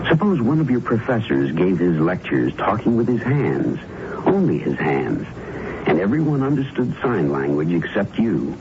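A man with a very low, lively voice delivers a scripted public service announcement. He is earnest and direct, putting rhetorical points to the listener. The old radio sound is noisy. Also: muffled recording.

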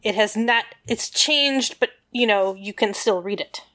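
A woman's voice: mid-range voice, measured pace, bright, forceful tone. A woman in a casual podcast chat, explaining history with lots of fillers and rambling asides.

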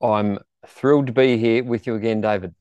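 A man co-hosting an interview podcast, asking questions in a conversational, halting way. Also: lowish voice, fairly even intonation, a little mellow, clean tone, no room sound, lo-fi sound.

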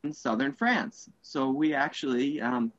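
Guy being interviewed on a podcast, mid voice, kinda halting and casual, dry audio. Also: mid-range voice, very animated, fairly bright tone, no room sound, lo-fi sound. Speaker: podcast guest, sailor.

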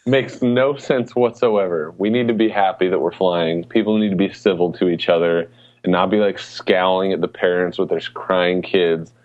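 A man with a very low voice talks like a podcast co-host among friends. His speech is slangy and emphatic, and the recording is slightly dull and lo-fi. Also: steady tone, normal speed, a little mellow. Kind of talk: casual chat about gaming and travel.

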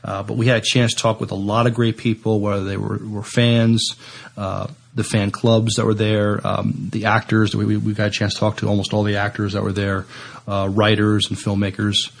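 Halting, gravelly-edged man. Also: fairly low pitch, monotone. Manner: halting, hesitant phrasing. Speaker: podcast co-host. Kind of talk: casual chat.

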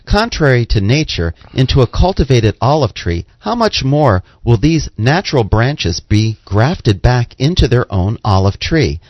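A man's voice: medium pitch, darkish tone, dry recording, muffled recording. A man co-hosting a religious radio show, reading scripture and scripted announcements. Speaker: radio co-host and scripture reader.

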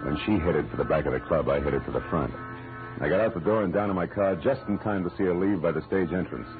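A male actor with an American accent playing a wry, deadpan private detective in a 1940s radio noir drama. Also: deep voice, quick pace. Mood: wry, matter-of-fact. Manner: deadpan, wisecracking. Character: wry, hard-boiled male private detective narrating his case. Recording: muffled old radio recording, music behind.

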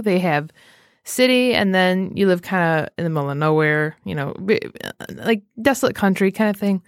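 A woman hosting a podcast, chatting casually and telling anecdotes in an animated way, often acting out what people say. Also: medium pitch, dry recording, clean studio sound. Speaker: podcast host.

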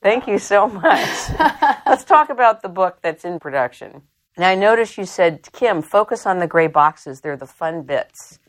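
A woman in her thirties hosting an interview show from a hotel, effusive and chatty and quick to laugh. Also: medium pitch, lively intonation. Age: 30s. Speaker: talk show host. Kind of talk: enthusiastic radio-style interview hosting. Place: hotel in London.

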